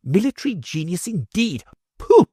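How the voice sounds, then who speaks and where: A man's voice: a little high, wildly swooping pitch, moderate pace, no room sound, studio quality, pitched above the performer's own voice. A man reading an audiobook, voicing a crafty official in a musing, soothing, sly manner. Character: smooth crafty middle-aged male official.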